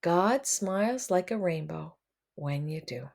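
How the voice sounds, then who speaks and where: A woman reading a picture book aloud to children, expressive and addressing them directly as she comments along. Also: fairly low pitch, slow, creaky. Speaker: read-aloud storyteller for children.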